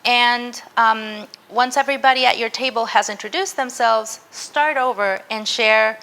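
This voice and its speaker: Bright-voiced woman teaching a workshop. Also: a little high, a little slow, vocal fry. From Mexico. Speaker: university professor. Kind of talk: interactive workshop presentation.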